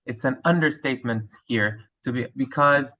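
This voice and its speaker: A man's voice: mid-range voice, moderate pace, pushed and bright. Hesitant Lebanese-accented male teacher. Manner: hesitant, many fillers and restarts. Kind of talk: answering interview questions.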